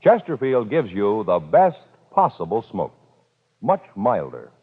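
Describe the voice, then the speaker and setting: A man's voice: on the low side, huge pitch swings, a little slow. An American man announcing in a formal, scripted 1950s radio style, on an old recording. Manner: formal, declarative. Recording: tinny old radio recording, faint music.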